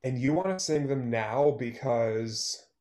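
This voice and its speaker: A man's voice: medium pitch, lively intonation, slow pace, warm tone. Guy playing a hurt young songwriter in a play, bitter n guarded, short curt answers. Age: young adult. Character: Liam, bitter, heartbroken male songwriter, guarded toward former love. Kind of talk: one-act play read over video call. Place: plain-walled room at home, indoors. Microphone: video call.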